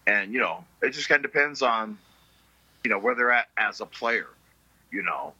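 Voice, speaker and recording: A middle-aged man, a blues musician guest, talking in a relaxed, story-telling way full of fillers. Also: on the low side, moderate pace, breathy edge, no echo, dull audio. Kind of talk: casual interview chat.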